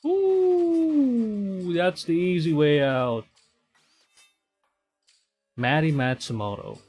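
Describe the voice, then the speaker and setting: Slow-talking male game streamer. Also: high-pitched, big pitch swings, breathy edge, highs cut off, faint music behind. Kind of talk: live game commentary and dramatic reading of visual novel text.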